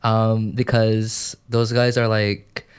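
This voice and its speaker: A man co-hosting a radio show, excitable and often trailing off mid-sentence. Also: on the low side, slow, clear, resonant tone. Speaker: radio co-host. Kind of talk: casual radio chat.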